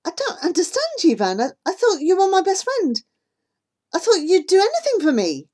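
A woman's voice: sits high, unhurried, a little mellow, pitched above the performer's own voice. A woman voicing a hurt, stubborn young girl who breaks into angry, emotional outbursts. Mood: distraught.

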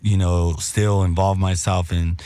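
A middle-aged man and former radio host, chatting as a guest in a laid-back, rambling way, his voice a little raspy from smoking. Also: deep voice, little pitch movement, unhurried, dark tone, no room sound. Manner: laid-back, rambling with many restarts and slang. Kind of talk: casual chat.

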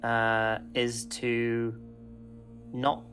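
A man's voice: mid-range voice, monotone, very slow, fairly bright tone. A man who writes scripts, giving a casual, unscripted testimonial with a somewhat hesitant, loose delivery.

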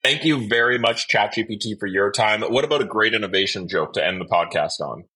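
A man's voice: lowish voice, a little fast, pushed and bright, husky. A man hosting a podcast, interviewing in a conversational way.

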